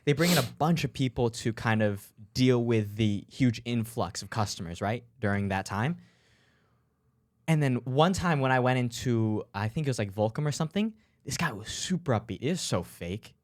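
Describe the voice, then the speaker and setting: A young man with a medium pitch co-hosts a casual podcast chat. His voice rises and falls in a lively way as he thinks aloud and asks questions, with plenty of fillers along the way. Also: normal speed. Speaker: podcast co-host.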